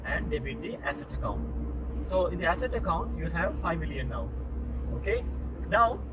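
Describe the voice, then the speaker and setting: A man in his forties explaining like an accounting tutor, in a halting, repetitive, step-by-step way. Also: very animated. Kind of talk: informal explanatory lesson. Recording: noisy car interior with road rumble.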